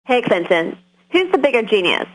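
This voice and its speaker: Excitable female radio caller, high pitch, lively swings, familiar tone, game radio call-in. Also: normal speed, rough recording. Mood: curious.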